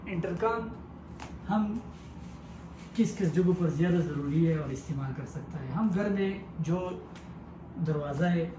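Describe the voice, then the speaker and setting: Guy explaining tech step by step, kinda high voice, echoey room. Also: long pauses. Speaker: technical instructor. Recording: echoey room with clear background noise.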